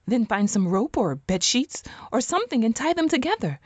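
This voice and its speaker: Husky, decisive woman acting on the run. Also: a little high, big pitch swings, a little mellow, no echo. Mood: practical. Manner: brisk, urgent, decisive. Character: level-headed, practical female fugitive leader. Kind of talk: audio drama acting.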